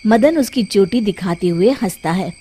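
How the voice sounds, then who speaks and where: A woman's voice: medium pitch, animated, moderate pace, warm tone, slightly rough. A woman narrating a scripted children's moral story as a storyteller. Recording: background music under the voice. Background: music.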